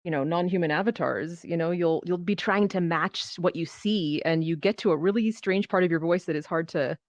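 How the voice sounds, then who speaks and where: A woman's voice: lowish voice, a little fast, no echo. A woman performer talking casually on a panel, acting out her thoughts as she tells stories, through a VR headset microphone. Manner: animated storytelling, acts out quoted thoughts. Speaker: panelist, immersive theater performer.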